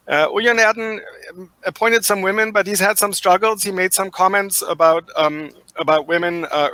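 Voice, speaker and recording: A man's voice: a little high, pushed and bright, low-quality recording. A man with a German accent, giving thoughtful interview answers full of fillers and restarts. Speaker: guest expert.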